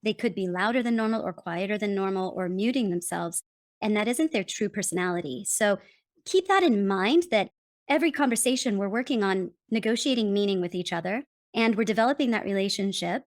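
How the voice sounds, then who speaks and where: An American woman speaking as a guest author, explaining her ideas in an animated, emphatic way. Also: medium pitch, a little fast, no room sound, clean studio sound. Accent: General American. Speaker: guest author and communication consultant. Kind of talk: interview answers.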